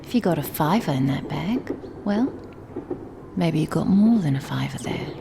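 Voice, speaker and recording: A woman's voice: low voice, lively intonation, moderate pace. A woman voicing a cocky, foul-mouthed young street punk girl in a comic dub, slangy and insolent, with a London accent. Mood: grumbling, scheming. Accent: British, London. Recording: clearly noisy background.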